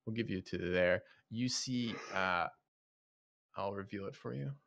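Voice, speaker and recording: Gravelly-voiced man running a tabletop game. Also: on the low side, a bit bright, lo-fi sound. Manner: halting, thinks aloud with fillers. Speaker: game master.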